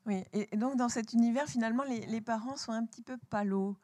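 A woman interviewing an author about her book, hesitant and filling her pauses with fillers. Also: on the high side, mellow, dry recording, clean studio sound. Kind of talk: literary interview.

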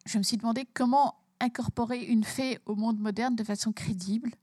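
A woman's voice: a little high, fairly even intonation, brisk, a little mellow, no room sound, clean studio sound. A woman author from Belgium, talking emphatically about her book in an interview and repeating words as she starts her sentences.

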